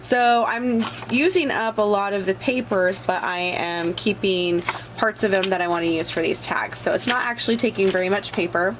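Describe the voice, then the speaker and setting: A woman's voice: mid-range voice, normal speed, background noise. A woman with a bad cold hosting a casual crafting tutorial, talking along chattily with many fillers as she works. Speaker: crafting channel host.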